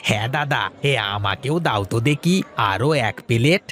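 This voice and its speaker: A man's voice: medium pitch, expressive, normal speed, fairly bright tone, close to an everyday voice. A man voicing an easygoing, sociable village customer in a children's cartoon.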